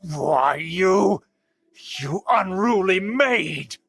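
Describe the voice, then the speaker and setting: An actor playing a haughty, outraged aristocratic uncle and scheming villain in a video game, with pompous, theatrical delivery. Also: male voice, high-pitched, expressive, very slow, creaky, fairly bright tone, full character voice. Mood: appalled.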